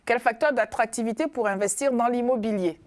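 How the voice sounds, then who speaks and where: A woman's voice: on the high side, brisk. A woman hosting a TV talk show, introducing guests with formal, theatrical flourishes.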